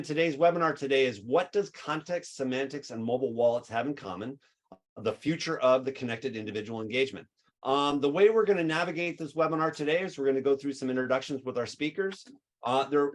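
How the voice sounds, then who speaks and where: A man hosting a webinar, upbeat and enthusiastic, with frequent fillers. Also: mid-range voice. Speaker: webinar host.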